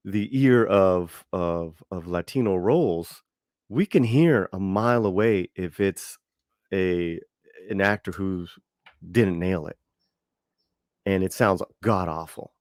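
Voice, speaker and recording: A man's voice: on the low side, no room sound, studio quality. A man in a casual chat who rambles emphatically, restarting his sentences often.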